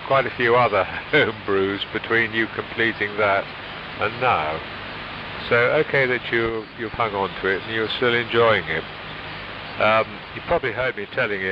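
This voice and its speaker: A man with a fairly low voice chats on amateur radio. His delivery is measured and conversational. The AM signal is muffled, with clear hiss behind it. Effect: radio transmission. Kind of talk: casual ham radio chat. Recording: hissy muffled AM shortwave radio.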